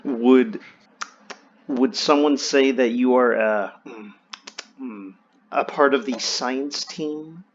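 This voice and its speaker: A man chatting and telling stories casually, with animated reactions, swearing and restarts, over online voice chat. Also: mid-range voice, slow pace, pauses often. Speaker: host. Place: online voice chat in a VR social game. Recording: dull lo-fi voice-chat audio.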